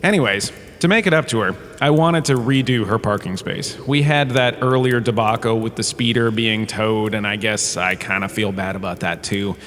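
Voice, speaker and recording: A man's voice: on the low side, moderate pace, hardly any pauses, fairly bright tone, natural voice. Man playing rambling self-important lecturer in echoing space. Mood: breezy, self-excusing. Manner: rambling, breezy, self-excusing. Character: washed-up, self-important holodrama star turned galactic history lecturer. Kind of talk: comedic in-universe lecture monologue in audio drama. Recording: cavernous reverb with faint background noise.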